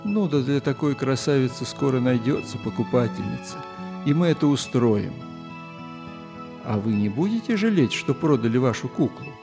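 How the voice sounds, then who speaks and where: An actor playing a kindly old newspaper editor in a children's audio play, speaking with gentle, deliberate, old-fashioned courtesy. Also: male voice, medium pitch, expressive, creaky, music in the background. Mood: kindly, admiring.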